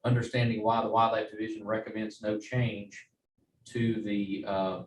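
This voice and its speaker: A man giving a formal staff presentation at a meeting, in a halting, measured way. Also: fairly low pitch, flat delivery. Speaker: wildlife agency staff presenter. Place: meeting room. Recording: roomy, distant mic, faint noise.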